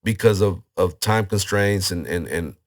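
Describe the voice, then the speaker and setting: A man, hosting and organizing events, talking casually with a hoarse voice and loose slang. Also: deep voice, a little slow. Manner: relaxed, loose slangy talk. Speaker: podcast host and event organizer. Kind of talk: casual interview chat.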